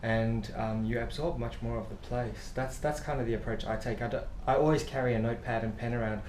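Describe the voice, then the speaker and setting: A middle-aged man from South Africa, an author and travel writer, telling stories in a relaxed interview with lots of fillers. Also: medium pitch, a little fast. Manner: rambling, warm storytelling with laughs and many fillers. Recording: echoey room with faint noise.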